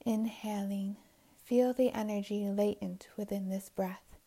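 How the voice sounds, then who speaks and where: A woman leading a guided meditation in a soothing, unhurried manner, with long pauses and soft, breathy phrase endings. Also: mid-range voice, steady tone.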